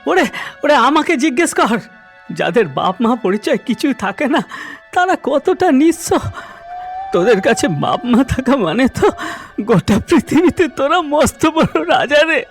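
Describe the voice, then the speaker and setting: A woman voicing an earnest, kindly young cremation-ground man in a horror cartoon, hurried and exclamatory. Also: high voice, sing-song, soft background music. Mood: upset. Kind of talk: cartoon horror story acting.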